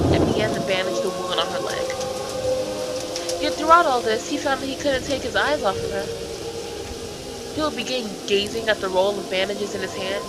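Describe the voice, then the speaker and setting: A woman reading a visual novel aloud as narrator, in a storytelling style. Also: mid-range voice. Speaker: narrator reading game text. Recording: very noisy home recording.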